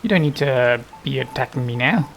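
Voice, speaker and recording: A man's voice: mid-range voice, lively intonation, brisk, gravelly, a bit bright, slight background noise. A man giving casual gameplay commentary, muttering to himself as he plays. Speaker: gamer recording a playthrough.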